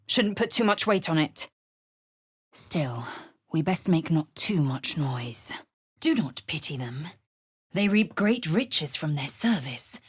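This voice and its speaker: An actress playing a fierce, haughty young female alien warrior in a video game, with a raspy voice and clipped, imperious, disdainful delivery. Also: low voice, exaggerated voice. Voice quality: raspy, husky. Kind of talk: video game character acting.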